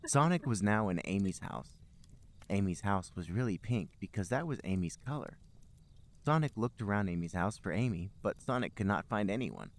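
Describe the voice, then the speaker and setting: A man with a fairly low voice reads comic fiction aloud as a podcast host. His delivery is emphatic and dramatic, with very animated pitch. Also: moderate pace. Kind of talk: comic read-aloud narration of amateur fiction.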